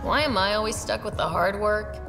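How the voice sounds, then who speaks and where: Sardonic female game character, played by an actress, medium pitch, dry teasing delivery, music behind. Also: brisk, natural voice. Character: dry, sardonic woman in a space adventure. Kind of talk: video game dialogue acting.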